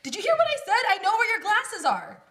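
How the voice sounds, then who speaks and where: A young woman playing an excitable, scheming, teasing sister with theatrical emphasis in a comedy table read. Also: extremely high pitch, fast, exaggerated voice. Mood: excited, teasing. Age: young adult. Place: small indoor room at a table.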